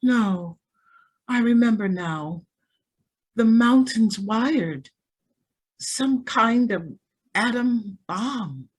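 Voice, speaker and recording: A woman's voice: fairly high pitch, expressive, drawn-out words, pauses often, husky, full character voice, rough recording. A woman playing a naive, dreamy rich young sweetheart in a radio drama, plaintive and languid. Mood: matter-of-fact.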